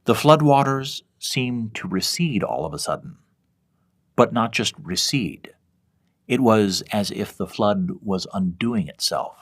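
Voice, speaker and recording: A man narrates a scripted horror story in the first person. His voice sits very low. He reads as the author of a fiction podcast.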